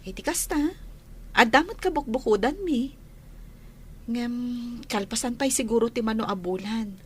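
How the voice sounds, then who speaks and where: A woman with a Filipino accent playing a gentle, grateful young wife in an audio drama. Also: on the high side, normal speed, breathy edge. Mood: hopeful, grateful.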